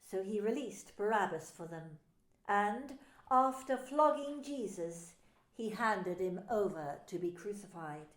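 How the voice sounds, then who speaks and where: A woman's voice: mid-range voice, animated, slow pace. A woman minister narrating a dramatised scripture story, telling it expressively. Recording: roomy echo.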